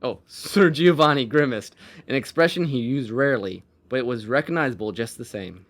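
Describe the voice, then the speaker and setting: A man's voice: medium pitch, normal speed. A man reading a fantasy story aloud as its narrator.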